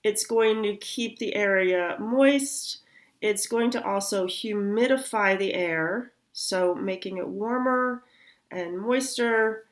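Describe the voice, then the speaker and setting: A middle-aged woman, a chiropractor, explaining sinus anatomy in an unhurried health lesson, her voice a little nasal from congestion. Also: medium pitch. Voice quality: slightly nasal. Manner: slow, unhurried explaining with fillers.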